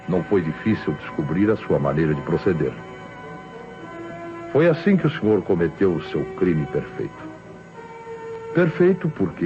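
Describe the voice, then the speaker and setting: An actor playing a shrewd, self-assured police inspector in an old Brazilian radio mystery, speaking emphatically and with some pomp in a Brazilian accent. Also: male voice, sits low. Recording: muffled old radio recording, music behind.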